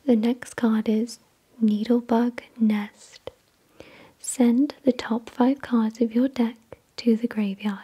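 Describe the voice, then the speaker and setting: Slow, breathy whispering ASMR woman. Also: fairly high pitch, steady tone, dark tone. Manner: slow, deliberate, measured reading. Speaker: ASMR video creator and host. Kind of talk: soft-spoken ASMR, reading card text aloud.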